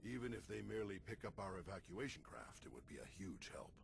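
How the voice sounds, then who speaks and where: A very low, gravelly old Jedi master from a video game cutscene. He speaks with patient, measured solemnity, like a mentor teaching a pupil. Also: very animated, slight background noise, full character voice. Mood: calm, practical. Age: older.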